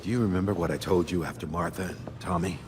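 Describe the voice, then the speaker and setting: A man voicing a deep-voiced veteran cop. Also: quick pace, natural voice. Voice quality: plainspoken. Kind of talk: game cutscene acting. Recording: background noise.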